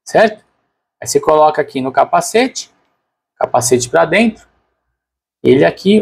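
A man's voice: fairly high pitch, vocal fry, a little mellow, husky. A man with a Brazilian Portuguese accent narrating a product unboxing casually and unscripted, informally thinking aloud as a reviewer.

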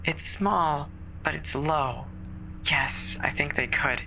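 A performer voicing a terrified woman caller alone in a snowbound house, halting and stammering with fear in a horror audio drama. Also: medium pitch, sharp tone, a little hiss or hum, exaggerated voice, poor audio. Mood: frightened. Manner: halting, fearful, breathy stammering. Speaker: emergency caller.

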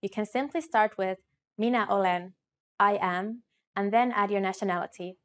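A young woman reads a scripted lesson straight to camera. She says each phrase slowly and clearly, as a model for learners. It was recorded on a studio mic. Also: mid-range voice. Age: young adult. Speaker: language teacher.

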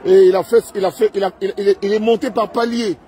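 A man's voice: high-pitched, fairly even intonation, quick pace, warm tone. A man with a Congolese accent reporting live on the street as a journalist, repeating his phrases insistently. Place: outdoors in a busy arena forecourt at night. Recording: outdoor crowd noise on a live stream.

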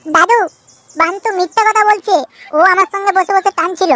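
A man voicing a cheeky, scheming boy in a comedy cartoon, with a pitch-raised voice. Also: fairly quick, sharp tone, no room sound. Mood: scolding. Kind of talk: amateur comedy cartoon dubbing.

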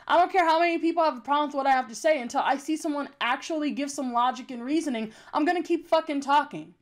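A young adult woman with a youthful voice, delivering a blunt, emphatic, unscripted commentary rant with frequent swearing. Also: high-pitched. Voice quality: young-sounding voice. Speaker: YouTube commentator.

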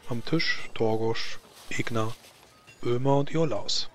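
A man's voice: mid-range voice, slow, a bit bright. A man narrating a tabletop fantasy game as game master.

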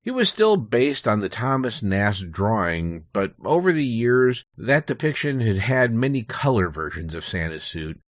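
A man talks as a guest in a podcast interview, laying out history in an explanatory way. His pitch moves around in a lively, animated way. The sound is dry, as in a small recording booth. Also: no echo.

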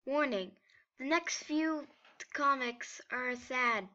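High-pitched woman, casual and slow. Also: bright, forceful tone, highs cut off. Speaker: amateur comic dubber. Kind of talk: casual, informal announcement.

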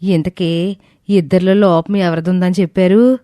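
A woman's voice: fairly low pitch, steady tone, moderate pace, mellow, no echo, clean studio sound, faint music behind, natural voice. A woman voicing a nosy, insinuating neighbourhood auntie in an audio story. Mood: prying. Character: prying, gossipy neighbourhood auntie.

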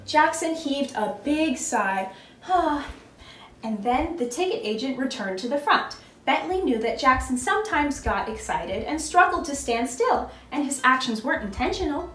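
A young woman hosts a children's storytime, narrating and quizzing little listeners. Her voice is high and very animated. It is recorded in an echoey room. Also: normal speed, soft background music. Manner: expressive, instructive, addressing small children. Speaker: costumed storytime host reading as a princess.

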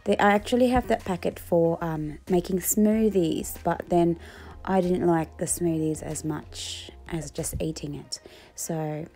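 A woman, chatting casually in a home kitchen while cooking and eating, talking in a relaxed, rambling way with many ums. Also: lowish voice, a little slow. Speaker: food vlogger. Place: home kitchen and dining table indoors. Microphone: phone on a tripod.

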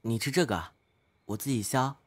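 A young man acting an earnest, polite, slightly naive studious teenage boy in an audio drama, gentle and encouraging. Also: mid-range voice, normal speed, natural voice. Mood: polite, puzzled. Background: background music.

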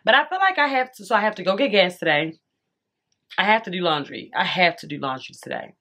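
Chatty woman, slightly congested, low voice. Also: expressive, fast, a bit bright. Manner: chatty, addresses viewers as y'all, eats while talking. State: a little congested. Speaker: vlogger. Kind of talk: casual chat to camera. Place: bedroom at home.

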